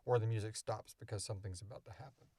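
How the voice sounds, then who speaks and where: A man's voice: on the low side, fast, vocal fry, warm tone, no echo, clean studio sound. A man co-hosting a show, chatting off the cuff in an informal, rambling way as he explains things. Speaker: podcast co-creator and co-host. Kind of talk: casual unscripted Q&A chat.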